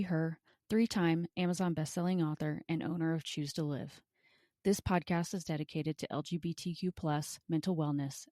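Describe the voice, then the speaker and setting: A woman's voice: deep voice, mellow, dry recording, studio quality. A woman hosting an interview podcast, with scripted introductions and questions for a guest. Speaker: podcast host.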